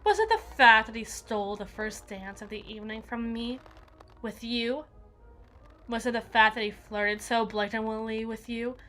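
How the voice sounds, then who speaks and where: A woman playing a jealous, menacing evil queen in an ASMR roleplay, regal and possessive, with theatrical, deliberate formal diction. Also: fairly high pitch, fairly bright tone, faint music behind, close to an everyday voice.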